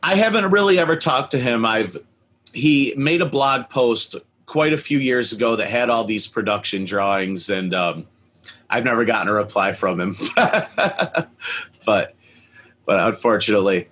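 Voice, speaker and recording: A man's voice: mid-range voice, animated, moderate pace, fairly bright tone, slight background noise. An American man chatting casually as the host of a fan interview, with a mid-range voice.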